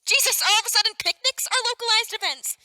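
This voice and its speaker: A teenage actress plays an exasperated young woman in a stage drama. Her voice is extremely high and sharp, thrown out in loud protest. The sound is dry and direct. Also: fast, no echo, full character voice, rough recording. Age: teens. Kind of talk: stage play acting.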